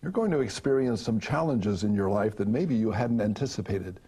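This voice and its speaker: Older male priest host, genial and chatty; gravelly, husky voice. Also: on the low side, lively intonation, moderate pace, mellow. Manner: genial, chatty, laughs easily. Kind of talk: television interview. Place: studio set, indoors.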